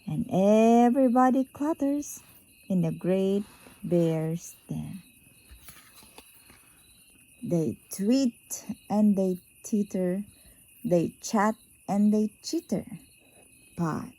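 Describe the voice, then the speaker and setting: Unhurried, mellow woman reading a bedtime story. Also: mid-range voice, poor audio. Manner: drawn-out, sing-song emphasis on key words. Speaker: parent reading a bedtime picture book aloud to a young child. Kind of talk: expressive storybook read-aloud for young children.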